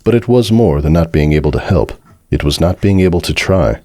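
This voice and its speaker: A man's voice: low-pitched, expressive, brisk, mellow, dry recording, clean studio sound. A man giving a dramatic, scripted narration of a story as its narrator.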